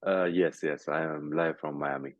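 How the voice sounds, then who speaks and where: A young Pakistani man, a fighter, answering interview questions over a video call in halting English full of "like" and "you know". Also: extremely low pitch, fairly even intonation, dry recording. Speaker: guest fighter. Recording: tinny call audio, dry.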